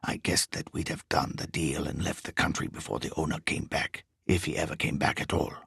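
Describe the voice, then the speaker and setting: A man voicing a menacing foreign burglar with a foreign accent, talking in a low, curt, impatient whisper. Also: level tone, a little fast, creaky, a bit bright, slightly rough, dry recording, studio quality, exaggerated voice. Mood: hushed, curt. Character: menacing foreign male burglar, bass-voiced, assertive.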